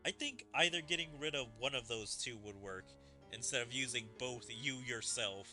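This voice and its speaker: A man's voice: mid-range voice, expressive, normal speed, sharp tone. A man streaming a game, analytically puzzling aloud over the story and its wording as he plays. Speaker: gaming streamer. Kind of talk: let's play commentary, reading dialogue aloud. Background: video game music.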